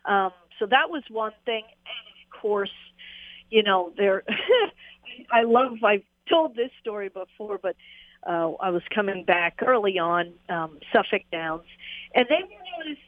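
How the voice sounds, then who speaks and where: A woman's voice: medium pitch, lively intonation, unhurried, no echo. A woman and former jockey, telling stories in a rambling, casual interview with frequent laughs and fillers. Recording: tinny phone line.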